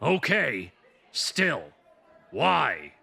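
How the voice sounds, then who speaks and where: Gravelly, blustering old newspaperman played by a man. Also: a little high, very animated, drawn-out words, bright, forceful tone, full character voice. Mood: skeptical. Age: older. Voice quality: gravelly, raspy. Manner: blustering, sardonic, emphatic. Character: loud, cynical old newspaperman, blustering and sardonic. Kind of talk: comic dub voice acting.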